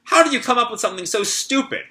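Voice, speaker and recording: A man reading aloud a manga page, voicing a hotheaded teenage boy ninja who shouts and protests. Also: very high-pitched, lively intonation, fast, pitched above the performer's own voice, full character voice. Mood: indignant. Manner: shouting, indignant.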